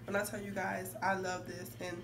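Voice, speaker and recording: A young woman with a somewhat hoarse, congested voice chatting casually and emphatically to camera as a beauty vlogger. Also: mid-range voice, completely flat delivery, moderate pace. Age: young adult. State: hoarse from a cold, clearing throat. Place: indoor room with curtains. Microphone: phone facing her, a few feet away. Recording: distant phone mic, noisy room.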